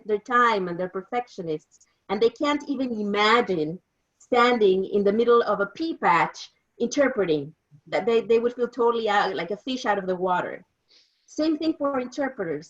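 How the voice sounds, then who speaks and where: Female presenter, 50, Mexican accent, native Spanish speaker, fairly high voice, informal training talk, dull lo-fi sound. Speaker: interpreter giving a training presentation.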